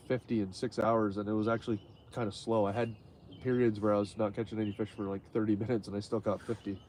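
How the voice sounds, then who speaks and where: Stuffy-nosed man, lowish voice, rambling outdoor fishing commentary, faint birds behind. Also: darkish tone, slight background noise. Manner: rambling, many fillers and restarts. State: stuffy nose. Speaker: fishing livestream host. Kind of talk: casual live fishing commentary.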